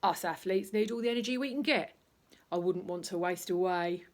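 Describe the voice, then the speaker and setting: A woman's voice: lowish voice, moderate pace, close to an everyday voice. A woman voicing a boastful, gluttonous big male sports teacher in a pompous, blustering way for a storybook. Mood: blustering, defiant.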